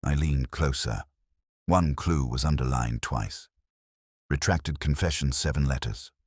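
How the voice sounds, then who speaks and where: A man narrating a mystery in the first person as a weary, reflective detective, in a measured, literary, brooding manner. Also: a bass voice, no echo, clean studio sound. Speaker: narrator of a detective audio drama.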